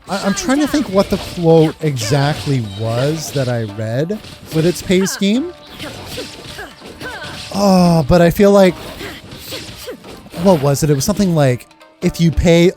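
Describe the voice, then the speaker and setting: Rambling, informal male game streamer. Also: fairly high pitch. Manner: rambling, informal, mild swearing. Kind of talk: casual playthrough commentary.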